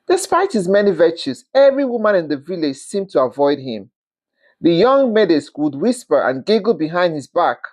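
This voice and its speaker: Expressive storyteller with swooping pitch. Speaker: storyteller, narrator. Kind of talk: scripted folktale narration.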